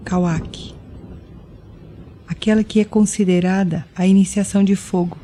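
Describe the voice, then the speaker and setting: A Brazilian woman narrating a guided meditation in a deliberate, soothing way, leaving pauses between phrases. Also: mid-range voice, mellow, slight background noise. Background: music with rain and thunder sounds.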